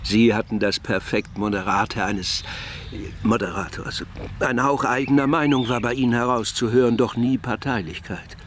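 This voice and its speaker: Radio play role for an actor: wordy, opinionated failed publisher, medium-pitched man, slight background noise. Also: normal speed, fairly bright tone, natural voice. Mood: admiring, pontificating. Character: bankrupt male publisher, opinionated, wordy admirer. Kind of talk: radio drama acting.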